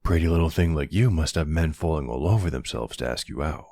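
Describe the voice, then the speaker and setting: Casting: male actor as middle-aged mob boss for intimate audio roleplay, extremely low and husky, smooth talker with menace and courtly charm. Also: fairly quick, mellow, no room sound, clean studio sound. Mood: charming, flirtatious. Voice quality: gravelly.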